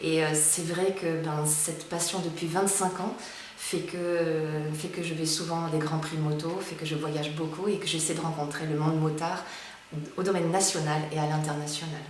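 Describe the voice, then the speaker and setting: A woman's voice: low-pitched, little pitch movement, normal speed, slight background noise. A woman in her fifties, a motorcyclist giving enthusiastic interview answers and repeating herself. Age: 50s. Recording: very echoey, distant mic.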